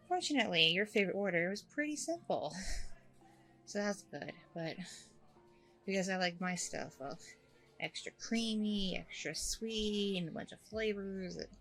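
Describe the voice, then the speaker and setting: A woman's voice: lowish voice, fairly bright tone, low-quality recording. A woman playing a chatty, apologetic blind date in an ASMR audio roleplay, rambling along casually and a little hesitantly. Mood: sheepish, apologetic.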